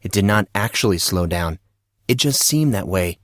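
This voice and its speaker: A man reading fantasy fiction as an audiobook narrator, in a deliberate, suspenseful dramatic style. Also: low voice, animated, no echo, studio quality.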